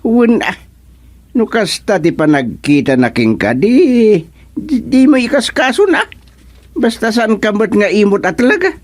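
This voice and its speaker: A man acting an easygoing village chairman in an Ilocano radio comedy drama, with drawn-out interjections and hums. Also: high-pitched, very animated, exaggerated voice. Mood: attentive, curious. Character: easygoing male village chairman who advises and reassures.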